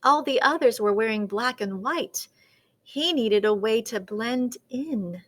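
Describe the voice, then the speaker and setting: A woman storyteller with a high voice reads a children's tale aloud. Her delivery is dramatic and very lively in pitch, and she takes her time with an unhurried pace. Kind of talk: expressive children's picture-book read-aloud.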